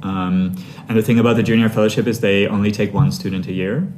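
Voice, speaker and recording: A man from Sri Lanka in his thirties, a conductor talking through his path in a relaxed interview, thinking aloud with many fillers and restarts. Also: fairly low pitch, fast talker, a little hiss or hum. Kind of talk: conversational interview answers.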